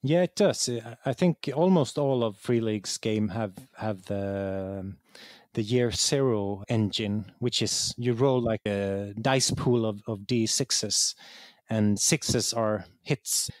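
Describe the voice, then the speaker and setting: A man's voice: lowish voice, slow pace, dry recording, clean studio sound. A man with a Swedish accent explaining things conversationally as an interview guest, repeating words as he searches for phrasing. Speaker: interview guest, game writer.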